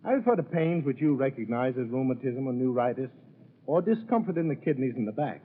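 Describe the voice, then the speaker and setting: A man's voice: medium pitch, big pitch swings, a little fast. A man as a sponsor's announcer reading a formal, solemn 1930s radio advertisement. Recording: tinny, scratchy-voiced 1930s radio recording.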